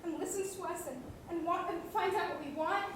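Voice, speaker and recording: Young woman as anxious high-voiced wife, echoey stage. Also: a little fast. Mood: exasperated. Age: teens or young adult. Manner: urgent, insistent, stammering. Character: anxious, exasperated wife convinced her television is alive. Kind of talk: theatrical stage comedy acting. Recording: very echoey hall, distant mic, noisy.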